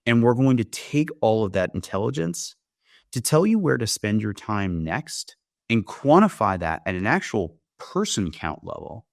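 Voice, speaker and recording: A man with a deep voice talks through a product as its founder. His delivery is a conversational, explanatory pitch, punctuated with little "right?" check-ins. The sound is dry, with almost no room to it. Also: no room sound. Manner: explanatory, often tags points with "right?". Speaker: company founder demonstrating software, interview guest.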